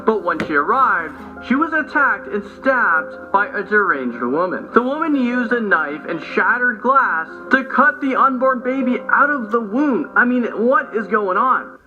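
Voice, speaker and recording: A man's voice: high voice, lively intonation, measured pace, few pauses. A man delivering scripted countdown narration with casual asides, in a dull lo-fi recording over music. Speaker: video narrator. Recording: dull lo-fi playback with loud music.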